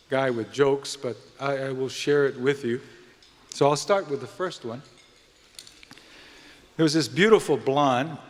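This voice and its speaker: A man from Hawaii with a Hawaiian accent hosts an event live, giving a folksy, slightly halting speech to guests in a large indoor venue. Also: medium pitch. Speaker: event host and speaker. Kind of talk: live welcome speech with jokes and introductions. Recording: long hall reverb from venue PA.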